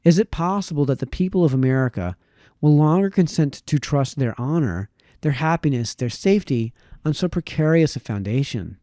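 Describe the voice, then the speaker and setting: Mellow man reading aloud, dry sound. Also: a little high, expressive, no echo. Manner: measured, formal read with occasional stumbles. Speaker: reader. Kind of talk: reading aloud a historical political text.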